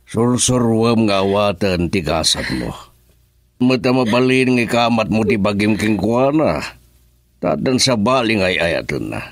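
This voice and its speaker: A man's voice: lowish voice, expressive, unhurried, no echo. An actor playing a patient middle-aged father with an Ilocano accent in a radio drama. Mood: consoling, concerned. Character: patient, consoling older father. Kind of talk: Filipino radio drama acting.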